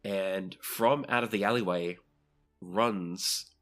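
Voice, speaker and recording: Low-voiced game master narrating the action. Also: slow pace, a bit bright, faint music behind. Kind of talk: narrating a tabletop role-playing game.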